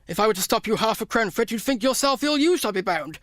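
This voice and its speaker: A man's voice: sits high, expressive, fast, fairly bright tone, dry recording, studio quality. An actor playing a miserly, sour old businessman in a radio play, with a curt, theatrical delivery. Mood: irritable, scornful.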